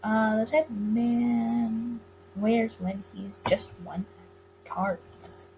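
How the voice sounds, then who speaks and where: A woman's voice: a little high, little pitch movement, very slow, darkish tone, a little hiss or hum, low-quality recording. A woman reading a visual novel aloud, narrating and stumbling over the odd word before rereading it. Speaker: let's-play reader of a visual novel.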